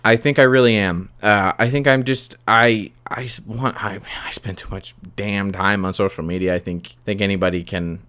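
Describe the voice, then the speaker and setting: A man's voice: on the low side, steady tone, normal speed, few pauses, a bit bright, smooth. A man hosting a podcast, talking off the cuff in a hesitant, rambling way and often repeating himself as he restarts phrases. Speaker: podcast host. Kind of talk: unscripted solo podcast monologue.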